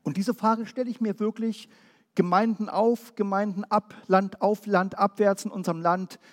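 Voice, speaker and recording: A man from Berlin preaching a Pentecostal sermon, firing off rhetorical questions and then dropping quiet and low. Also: steady tone, normal speed, in a large hall. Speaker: pastor.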